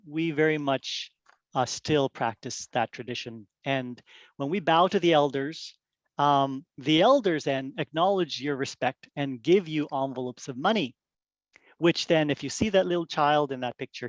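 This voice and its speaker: A man's voice: on the high side, dry recording, muffled recording. A middle-aged man from Korea giving a casual, friendly workplace presentation on a video call, with plenty of ums and uhs.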